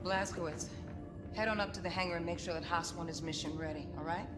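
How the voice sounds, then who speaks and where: A woman's voice: lowish voice, steady tone, quick pace, over loud music, natural voice. An actress playing a bossy, swaggering American woman who leads a resistance in a video game, with slangy American speech. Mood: brisk orders. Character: brash, commanding American woman resistance leader. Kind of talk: dramatic video game acting.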